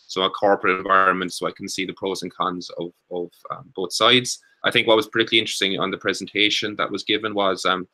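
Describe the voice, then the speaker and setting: An Irish man introducing himself on an online panel over a video call, speaking haltingly with many restarts and fillers. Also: sits low, flat delivery, bright, forceful tone, poor audio. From Ireland. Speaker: panelist, co-working space founder.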